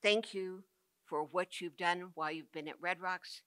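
An older woman, a college president, giving an earnest, measured commencement speech from a podium. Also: fairly low pitch, steady tone, fairly bright tone, clear, resonant tone, dry recording, studio quality. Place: indoor stage with podium. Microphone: podium mic.

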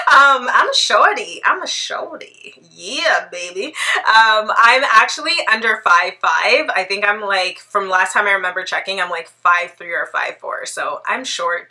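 Woman, Canadian accent, 30s, very bright voice, playful casual vlog chat, laughs a lot. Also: medium pitch. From Toronto, Canada. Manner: chatty, laughs often, playful. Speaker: vlogger. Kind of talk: casual Q&A to camera. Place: bright living room indoors.

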